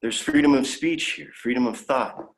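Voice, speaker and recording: A man's voice: medium pitch, normal speed, lightly acted, low-quality recording. A young man playing a naive, idealistic alien youth in a dramatic reading, earnest and prone to stammering. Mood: earnest, eager. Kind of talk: dramatic fan fiction reading.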